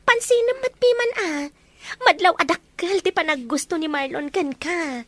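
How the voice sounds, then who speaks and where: A woman playing a lively young matchmaking best friend in an Ilocano romance drama, teasing and coaxing. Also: extremely high pitch, moderate pace, no echo.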